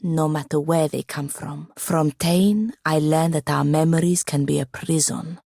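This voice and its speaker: A woman's voice: sits low, steady tone, measured pace, gravelly, dark tone, dry recording, full character voice. A woman playing a proud, sardonic young woman wizard in a video game, speaking formally with dry wit. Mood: reflective. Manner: dry, wry, formal phrasing. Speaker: game companion character. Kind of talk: scripted video game dialogue.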